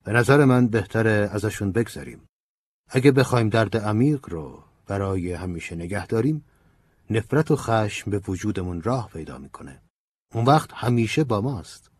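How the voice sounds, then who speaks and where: A man voicing an old male priest who speaks in a gentle, preaching, earnest manner. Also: lowish voice, expressive, breathy edge, no room sound. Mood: calm counselling. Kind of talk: audiobook character voice.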